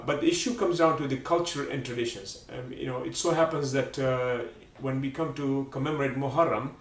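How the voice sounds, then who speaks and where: A man hosting a live religious program, speaking haltingly with many fillers. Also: mid-range voice. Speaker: program host. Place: Seattle area. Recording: roomy, distant mic.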